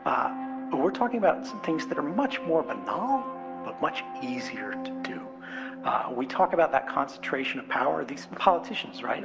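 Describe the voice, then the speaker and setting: A man's voice: mid-range voice, gravelly, muffled recording. An American man giving an informal, unscripted public speech in a conversational, emphatic way, often checking in with rhetorical questions. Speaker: conference speaker. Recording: speech with music laid underneath. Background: music.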